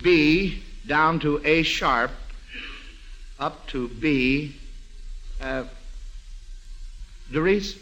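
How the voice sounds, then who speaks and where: A man's voice: fairly high pitch, very slow, pauses often, fairly bright tone. A man hosting an old-time radio quiz for children, speaking in an encouraging, somewhat hesitant way. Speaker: quiz show host. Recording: noisy vintage radio broadcast.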